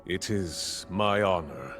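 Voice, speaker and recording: A man playing a cunning, ambitious warrior king in a video game, speaking in formal, measured, courtly phrases of feigned loyalty, with music behind him. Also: low-pitched. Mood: smooth feigned deference. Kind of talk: dramatic video game acting.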